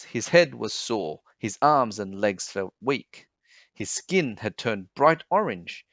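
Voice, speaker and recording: A man reading a children's story aloud in an expressive, emphatic, measured way. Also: medium pitch, dry recording. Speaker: storybook reader. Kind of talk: children's read-aloud narration. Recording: dull, slightly muffled sound.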